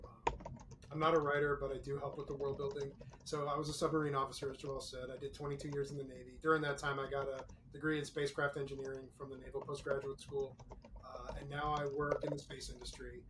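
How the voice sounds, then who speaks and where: A man's voice: medium pitch, level tone, fast talker. A middle-aged man joining an interview as a guest, explaining things eagerly with some fillers. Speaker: guest, author's husband. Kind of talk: casual interview answers. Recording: distant mic, faint noise.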